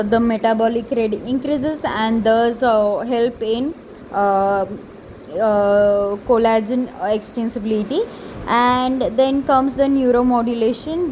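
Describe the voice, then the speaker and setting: A woman's voice: fairly high pitch, measured pace, darkish tone, slight background noise, low-quality recording. A young woman explains slides as a student presenter.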